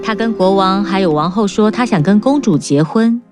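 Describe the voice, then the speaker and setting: A woman narrating a children's fairy-tale cartoon in a calm, even storytelling manner. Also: medium pitch, moderate pace, music in the background.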